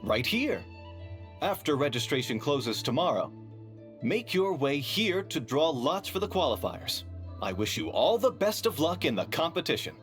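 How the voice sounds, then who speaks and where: A polite game tournament official with a fairly high male voice. His announcements are formal and orderly, moving in lively pitch over faint music. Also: normal speed. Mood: formal, courteous. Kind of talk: video game voice acting.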